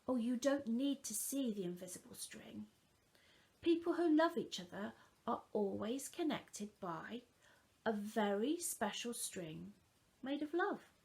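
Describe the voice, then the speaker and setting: A woman reading the part of a calm, loving mother who gently and patiently reassures her young children in a storybook. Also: a little high, measured pace, creaky, heavily acted. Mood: soothing.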